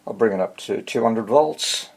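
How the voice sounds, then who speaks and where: A man giving casual, hands-on repair commentary as he hosts a video, thinking aloud in a husky voice. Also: on the low side, lively intonation, moderate pace, a little hiss or hum. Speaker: vintage radio repair hobbyist hosting video.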